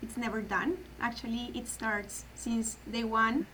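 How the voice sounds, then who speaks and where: A woman's voice: on the high side, steady tone, unhurried, bright, forceful tone, poor audio. Colombian woman, Spanish first language, guest on a podcast, careful and earnest, faint hiss behind her. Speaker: adoption program guest. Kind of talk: podcast interview.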